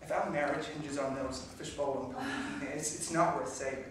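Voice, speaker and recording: An actor playing a wry, deadpan young lawyer husband who wants to go to bed, growing exasperated, in classic film acting. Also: male voice, fairly high pitch, brisk, background noise, close to an everyday voice. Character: young husband, dry, sleepy, unflappable lawyer. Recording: echoey film soundtrack from a distance.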